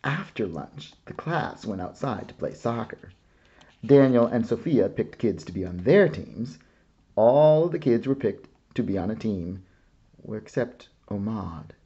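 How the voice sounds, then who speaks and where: A man reads a children's picture book aloud, slow and expressive. His voice sits low and husky, with warm, mellow tones and a lively rise and fall. The recording sounds a little dull and lo-fi.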